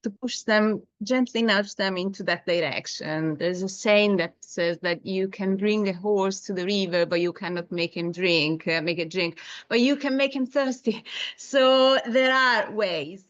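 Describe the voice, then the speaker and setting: A woman's voice: lowish voice, no room sound. Woman, Italian accent, webinar presenter, hesitant, muffled video call sound, dry. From Italy. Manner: hesitant, many filler sounds, storytelling. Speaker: webinar presenter, SEO agency founder.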